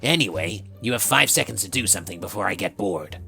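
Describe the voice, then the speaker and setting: A woman's voice: very deep voice, animated, fast talker, vocal fry, bright, forceful tone, husky, soft background music, heavily acted. A woman voicing a sardonic, flirtatious male skeleton villain with a languid, sarcastic drawl in a fan fiction reading. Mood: bored, sarcastic.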